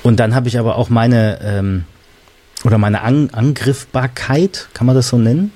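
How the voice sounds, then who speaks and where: A man's voice: mid-range voice, moderate pace, mellow. A man hosting a casual podcast conversation, speaking colloquially and candidly with a joking streak. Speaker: podcast host.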